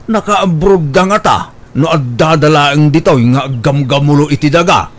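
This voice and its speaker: A man's voice: on the high side, normal speed, natural voice. A man acting a grasping older Ilocano father. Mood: self-satisfied. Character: grasping, stubborn older Filipino father, boastful and scheming. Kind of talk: radio drama acting.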